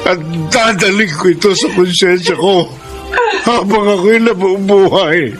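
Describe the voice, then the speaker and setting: A corrupt Filipino policeman and drug boss, torn by grief and remorse. His voice sits fairly high for a man and is thick with radio-drama melodrama. Music plays prominently behind him. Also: unhurried. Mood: remorseful, pleading. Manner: melodramatic, emotional.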